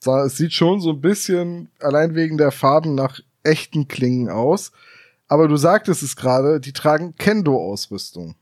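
Podcast co-host, man, nitpicky explainer with a higher voice, dry close mic. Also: moderate pace, no room sound. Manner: explaining, nitpicky, deadpan jokes. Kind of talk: casual chat reviewing an audio drama.

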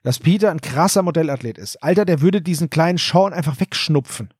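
A man's voice: fairly high pitch, fairly quick, husky, no echo, clean studio sound. A man co-hosting a podcast, chatting casually and animatedly about an audio drama. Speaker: podcast host.

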